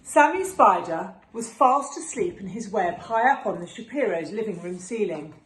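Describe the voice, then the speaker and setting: A woman narrates a children's picture book in an expressive way, with lively rises and falls in pitch. The room echoes, and there is faint noise behind her. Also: normal speed. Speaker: teacher reading to children. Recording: roomy echo with faint background noise.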